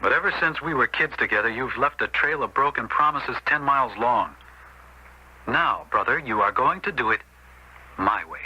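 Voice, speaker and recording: An actor playing a stern, upright American older brother, a storekeeper, in a 1970s radio drama. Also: male voice, medium pitch, expressive, moderate pace, pushed and bright, slightly rough, full character voice. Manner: stern, admonishing, firm. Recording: dull, lightly noisy old radio recording.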